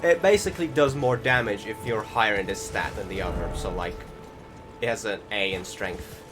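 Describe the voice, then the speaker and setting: A man's voice: fairly low pitch, normal speed. A man giving casual, rambling voice-over commentary on a video game, often hesitating and searching for words. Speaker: gaming channel host. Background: music behind the voice.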